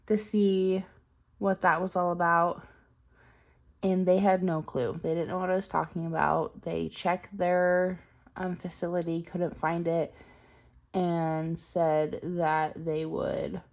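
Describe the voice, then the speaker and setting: A woman in her twenties telling a long story in a chatty vlog, her voice a little strained from a cold. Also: fairly low pitch. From Utah. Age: 20s. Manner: chatty, rambling, frequent ums. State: recovering from a cold, slightly strained voice. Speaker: planner vlogger.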